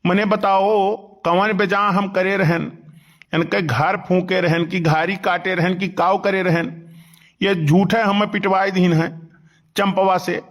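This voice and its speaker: Man acting an aggrieved Awadhi village boozer, highish. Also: steady tone, slow pace. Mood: indignant. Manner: complaining, protesting. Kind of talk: cartoon comedy dialogue.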